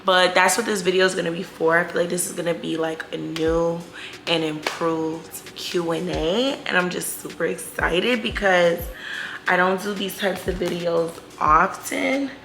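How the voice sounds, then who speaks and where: A woman's voice: fairly low pitch, fairly even intonation, normal speed, creaky, fairly bright tone, a little hiss or hum. A woman in her twenties talking casually in a question-and-answer vlog, slangy, chatty and candid, stretching words for emphasis. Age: 20s. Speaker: YouTube vlogger.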